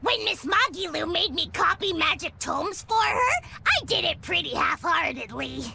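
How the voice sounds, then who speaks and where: An actor voicing a small, excitable, cowardly creature in a video game, with a squeaky, cutesy voice and a whiny, complaining manner. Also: moderate pace, gravelly, very noisy, full character voice. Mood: matter-of-fact. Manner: complaining, exclaiming. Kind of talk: animated video game dialogue.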